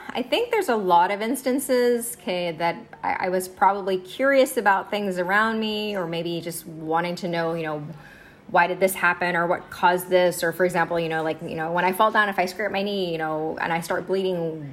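A woman in her forties, a high school science teacher, telling her story in a casual interview with many fillers and easy laughs. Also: normal speed. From United States. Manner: chatty, many fillers and "okay"s, laughs easily.

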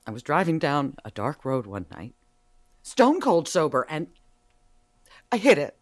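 An actress playing a cheeky, evasive burglary suspect in an audio drama, theatrical and teasing, swinging from meek to emphatic. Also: female voice, deep voice, very animated, a little slow, full character voice. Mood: emotional, dramatic. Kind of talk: audio drama dialogue acting.

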